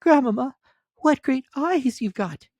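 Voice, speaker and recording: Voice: sits high, animated, measured pace, a little mellow, no room sound, voice pushed up. A man voicing an innocent, naive little country girl in a fairy tale, trusting and wide-eyed with a singsong delivery. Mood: amazed.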